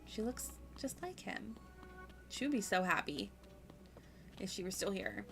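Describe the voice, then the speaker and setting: A woman with a medium-pitched voice chats casually as she streams a game. Her commentary rambles and is full of playful, giggly asides, over faint music. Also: lively intonation, measured pace, creaky, fairly bright tone.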